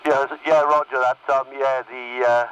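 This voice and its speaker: Far-off CB radio transmission, man, casual radio jargon, mid-pitched voice, some noise. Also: flat delivery, a little slow, a bit bright, dull audio. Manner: uses radio jargon and call-back phrases. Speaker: CB radio operator. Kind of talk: casual radio net chat. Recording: distant, noisy CB radio transmission.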